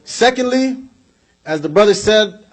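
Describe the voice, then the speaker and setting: Man, fairly high pitch, slightly raspy, blunt public speech, repeats key lines. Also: lively intonation, unhurried, low-quality recording. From United States. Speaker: community speaker. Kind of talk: political speech to an audience.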